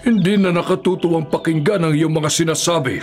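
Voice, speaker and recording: A man's voice: a little high, fairly quick, a little mellow, lightly acted. A man playing a stern, older patriarch father in a Filipino biblical radio drama, grave and admonishing. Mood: stern, indignant. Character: Jacob, stern patriarch father, doting but easily offended.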